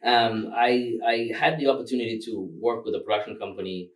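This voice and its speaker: A man's voice: mid-range voice, brisk, far from the mic, poor audio. A man from Rwanda, Kinyarwanda his first language, talking loosely as a filmmaker guest with many fillers and laughs. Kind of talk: casual interview.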